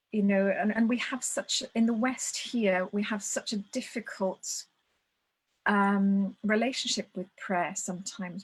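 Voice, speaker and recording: A woman speaks in a calm, reflective way, her pitch staying quite level as she circles back over her words. She sounds like a guest on a video call, taking her time to find each phrase. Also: low-quality recording. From Wales. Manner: thoughtful, restarts phrases, steady even delivery. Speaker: guest speaker on ritual tradition. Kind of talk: reflective conversation.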